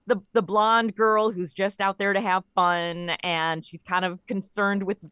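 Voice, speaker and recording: A woman's voice: mid-range voice, fairly even intonation, measured pace, a bit bright, clean tone, no echo, studio quality. A woman speaking as an interview guest, telling stories in a casual, conversational way. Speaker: podcast guest, audio comedy creator.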